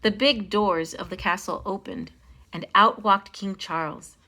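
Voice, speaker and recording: A woman with a bright, forward voice reads a children's story aloud. Her delivery is expressive and dramatic, leaning on key phrases as a storytime host does. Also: mid-range voice, a little slow, low-quality recording. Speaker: storytime host reading a picture book.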